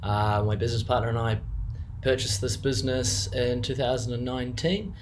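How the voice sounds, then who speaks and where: Man, New Zealand accent, young adult, middle pitch, hesitant, lots of ums and restarts, unscripted testimonial. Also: steady tone, moderate pace, noisy background. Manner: hesitant, many fillers and restarts. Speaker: business co-owner giving a client testimonial.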